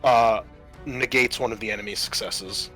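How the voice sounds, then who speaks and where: A man runs a tabletop roleplaying game as game master. His voice is bright and forceful, and he lays out the rules methodically, step by step. Music plays behind him. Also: lowish voice, fairly even intonation, normal speed, poor audio. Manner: methodical, explanatory.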